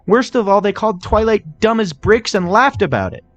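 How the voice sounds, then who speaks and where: Female unicorn, acted by a man, raised pitch, cheerfully threatening protector, pony story narration. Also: big pitch swings, normal speed, a bit bright, dull audio. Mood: accusing. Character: menacing, playful female unicorn, proud protector of her friend.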